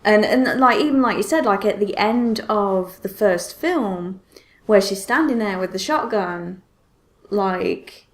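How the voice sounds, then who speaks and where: Woman podcast host, mid pitched voice, chatting away with opinions about films. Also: expressive, a little slow. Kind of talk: casual movie discussion.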